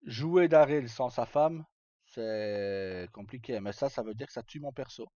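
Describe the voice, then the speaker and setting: A man's voice: mid-range voice, a little slow, warm tone. A man chatting casually to live viewers as a streamer, rambling and hesitant in colloquial speech with some swearing. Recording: dull lo-fi mic in echoey room.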